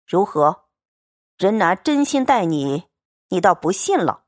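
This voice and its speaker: A woman's voice: on the low side, very animated, unhurried, no room sound, clean studio sound. A woman voicing a proud, cold young swordsman who speaks curtly and with a sneer. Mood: scheming, coaxing. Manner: curt, coldly sneering.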